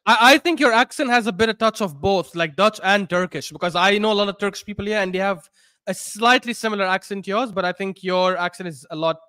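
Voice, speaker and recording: A man's voice: high-pitched, fast talker, bright, forceful tone, clear, resonant tone, no room sound, studio quality. A man on a video call giving blunt, teasing football opinions. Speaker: podcast panelist. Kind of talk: casual football chat.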